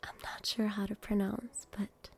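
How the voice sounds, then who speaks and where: A woman doing ASMR as a host, greeting people one by one in short, gentle phrases, moving between a breathy whisper and soft speech. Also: medium pitch, level tone, unhurried, faint music behind. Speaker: host greeting viewers by name. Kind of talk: ASMR name reading.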